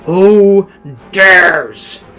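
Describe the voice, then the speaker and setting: A man voicing a bold, robust prince in a Gothic storybook, hoarse, imperious and enraged. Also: high-pitched, very slow, pushed and bright, pitched above the performer's own voice, exaggerated voice. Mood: enraged, commanding. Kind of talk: dramatic Gothic storybook reading. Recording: music under the voice.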